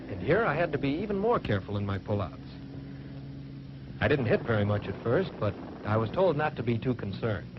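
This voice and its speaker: An American man playing an earnest fighter pilot trainee, narrating a 1940s training film in measured, matter-of-fact phrases, on an old film soundtrack. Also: medium pitch, natural voice. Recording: muffled, noisy vintage 1940s film soundtrack.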